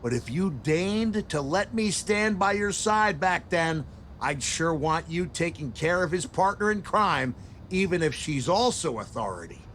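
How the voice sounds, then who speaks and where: A man's voice: a little high, lively intonation, measured pace, fairly bright tone, slight background noise. A man playing an eccentric, folksy and menacing old-school hardcore wrestler in a video game cutscene. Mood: goading.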